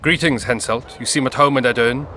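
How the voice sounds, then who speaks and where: An actor playing a proud, resolute young prince in a video game, with a formal, measured, haughty manner. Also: male voice, medium pitch, fairly even intonation, pushed and bright, slight background noise, lightly acted. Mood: firm. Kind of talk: dramatic video game acting.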